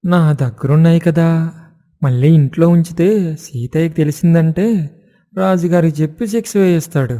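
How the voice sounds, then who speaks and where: A man playing a sly, dishonest villager in an animated moral story, feigning innocence and nervously evading. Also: on the high side, normal speed, lightly acted.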